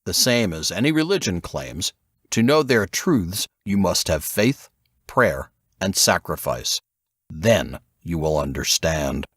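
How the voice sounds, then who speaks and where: A man voicing a grave, assured male investigator who lectures in a measured way, in an audiobook. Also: sits low, very animated, a bit bright, slightly rough, no echo, clean studio sound, full character voice. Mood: assured, didactic. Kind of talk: audiobook character dialogue.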